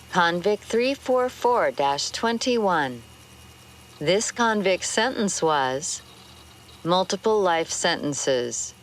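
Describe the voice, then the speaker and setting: Automated female archive system, lowish pitch, slow pace, formal, impersonal, sci-fi audio drama.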